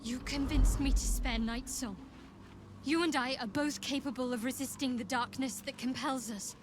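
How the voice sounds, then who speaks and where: An actress voicing a guarded young half-elf cleric in a fantasy game, dry and soft-spoken, tender yet resolute. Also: female voice, high voice, fairly even intonation, moderate pace, background noise, lightly acted. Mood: firm, concerned. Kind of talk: video game dialogue acting. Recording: music behind the voice.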